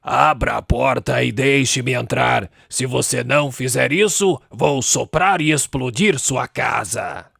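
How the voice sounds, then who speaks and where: A man voicing a hungry, menacing wolf in a children's cartoon, with a gravelly, threatening delivery. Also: medium pitch, fairly bright tone, no echo, studio quality, exaggerated voice. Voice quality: gravelly, creaky. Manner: slow, threatening. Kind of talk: children's cartoon character acting. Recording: music under the voice.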